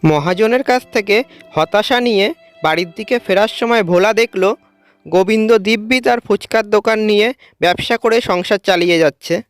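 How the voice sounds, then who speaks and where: A woman's voice: mid-range voice, normal speed, soft background music. A woman reading scripted narration for a cartoon story as its storyteller.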